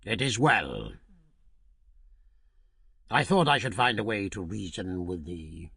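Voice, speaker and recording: A man playing a stern king in a storybook, his voice dropped low and gravelly. He speaks slowly and with solemn authority, issuing threats in formal old-fashioned speech. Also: sing-song, fairly bright tone, dry recording, clean studio sound, full character voice. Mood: commanding. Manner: solemn archaic commanding speech. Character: stern proud young African king, commanding.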